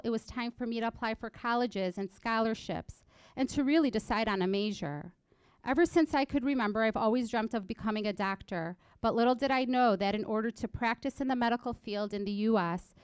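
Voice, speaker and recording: A nineteen-year-old woman from Egypt, raised in Illinois, reading a prepared personal story in an earnest, measured way at a college library event. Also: mid-range voice, smooth, no room sound. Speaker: student speaker.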